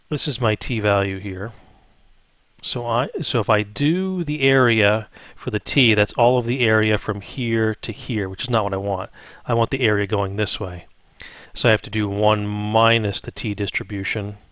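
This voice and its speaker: A man with a fairly low voice leads an informal step-by-step tutorial. He thinks aloud as he works and keeps checking in with little questions. The sound is dry, with very little room on it. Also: a bit bright, dry recording. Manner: thinks aloud, frequent check-in tags like "right?". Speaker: math instructor. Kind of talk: improvised step-by-step spreadsheet tutorial.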